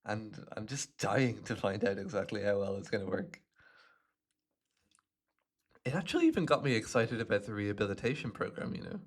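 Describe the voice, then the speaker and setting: A man's voice: on the low side, husky, exaggerated voice. A man acting out a smug, chatty imprisoned supervillain with hypnotic telepathy, musing playfully to the listener in an ASMR roleplay. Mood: pleased, musing. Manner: playful, smug, unhurried musing with little chuckles.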